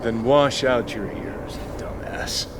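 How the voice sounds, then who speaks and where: A man's voice: medium pitch, slow, noisy background, lightly acted. A man as a sardonic, blunt rebel. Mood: dry, teasing. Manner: sardonic, laconic. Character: cynical, brash male rebel, abrasive and blunt. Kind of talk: scripted game voice acting.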